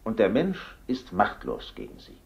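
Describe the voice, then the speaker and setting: An actor playing a male professor giving a formal, measured radio lecture in an old radio drama, his voice coming through a radio set. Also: on the low side, wildly swooping pitch, gravelly, heavily acted. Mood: lecturing. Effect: voice heard through a radio set. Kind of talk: 1950s radio drama acting. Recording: muffled old radio sound.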